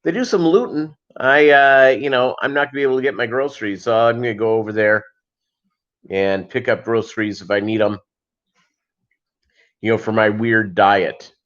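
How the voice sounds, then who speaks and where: A man hosting a casual live chat, rambling along with joking, deadpan asides. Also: lowish voice, moderate pace. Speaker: host of a live fishkeeping chat show. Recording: dull lo-fi livestream audio.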